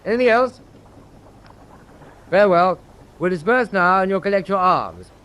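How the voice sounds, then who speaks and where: An actor with a British accent playing a resolute naval officer briefing his men in a war radio drama, crisp and authoritative. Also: high voice, animated, normal speed, a bit bright, low-quality recording. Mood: resolute, businesslike. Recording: faint background noise.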